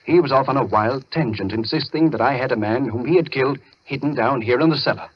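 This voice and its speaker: Actor as grim captive doctor, muffled radio sound. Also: male voice, mid-range voice, fast talker, no echo, lightly acted. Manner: earnest, articulate. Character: grim, resigned male doctor held captive. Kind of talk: old radio thriller acting. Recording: muffled noisy old radio recording.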